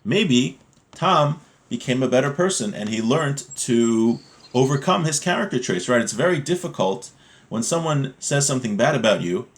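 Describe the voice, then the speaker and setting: A man's voice: medium pitch, lively intonation, normal speed. A man with a mid-range voice teaches a religious class informally. He explains step by step in a conversational manner, checking in with the listener as he goes. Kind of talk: informal lesson reading Hebrew text and explaining it in English.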